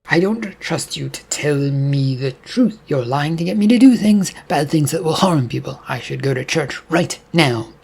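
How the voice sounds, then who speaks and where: A man's voice: a little high, lively intonation, normal speed, creaky, hardly any pauses, darkish tone, breathy edge, full character voice. A man voicing a frightened, distrustful man possessed by a demon. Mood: anxious. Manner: anxious, defiant.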